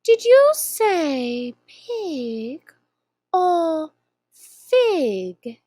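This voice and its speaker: A woman putting on a sly, slow-drawling storybook cat. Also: extremely high pitch, big pitch swings, warm tone, dry recording, studio quality, voice pushed up, heavily acted. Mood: teasing. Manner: slow, drawn-out, teasing delivery. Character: sly grinning cat, teasing and mysterious. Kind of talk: storybook reading.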